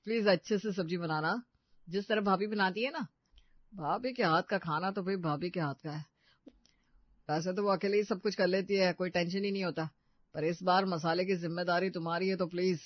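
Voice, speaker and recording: A woman's voice: lowish voice, brisk, dry recording, muffled recording, natural voice. A woman voicing a fussy, food-obsessed young husband in a dramatized moral story. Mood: pleading.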